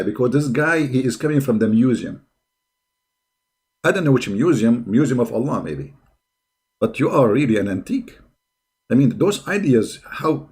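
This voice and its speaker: A man's voice: medium pitch, darkish tone, slightly rough. Sarcastic, combative man. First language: not English. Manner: sarcastic, combative, repeats rhetorical questions. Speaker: host of a religious debate channel. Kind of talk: reaction commentary, mocking polemic.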